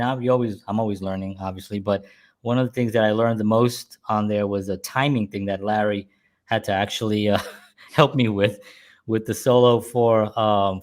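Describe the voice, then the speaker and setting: A middle-aged man hosting a show and reminiscing about being a musician in a casual, rambling, jokey way. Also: lowish voice.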